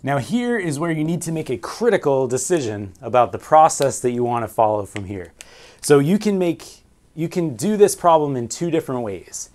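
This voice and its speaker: A man's voice: fairly high pitch, animated, normal speed, a little mellow. A man in his forties teaching navigation at a whiteboard, explaining step by step and asking and answering his own questions. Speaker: navigation instructor. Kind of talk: whiteboard lesson. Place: small room with framed pictures and signal flags.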